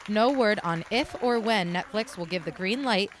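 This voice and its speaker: A woman's voice: mid-range voice, moderate pace, some chatter behind, a little hiss or hum. An American woman reporter delivering a scripted news report.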